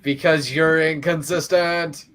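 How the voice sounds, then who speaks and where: Man running the game, bright voice. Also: a little high, steady tone, slow, slight background noise. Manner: playful, teasing, cuts back to the game. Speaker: game master. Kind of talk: casual tabletop game narration and banter.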